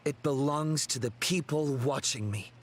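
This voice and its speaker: An actor playing an earnest, resolute young masked hero with deliberate, theatrical anime game delivery. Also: male voice, mid-range voice, slight background noise, lightly acted. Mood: solemn, resolute. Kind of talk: dramatic anime game voice acting.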